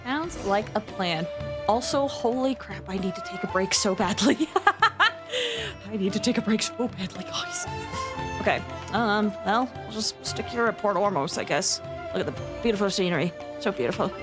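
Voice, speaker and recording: A woman's voice: medium pitch, normal speed, over music. A giggly, chatty woman hosting a game livestream, talking casually and breaking into exclamations. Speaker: game streamer, host.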